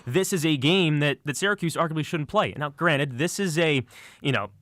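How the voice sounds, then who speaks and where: A man's voice: fairly high pitch, moderate pace, fairly bright tone, no echo. A man co-hosting sports talk radio, explaining his analysis earnestly and conversationally, now and then stumbling over words, on a studio mic. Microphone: close studio microphone.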